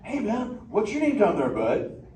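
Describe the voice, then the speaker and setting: A man's voice: high-pitched, huge pitch swings, a little slow, warm tone, distant mic, exaggerated voice. A man playing a laid-back male surfer sea turtle in a live interactive theater show, drawling surfer slang and bantering with the crowd. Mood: playful. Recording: echoey theater heard from afar with ambient noise.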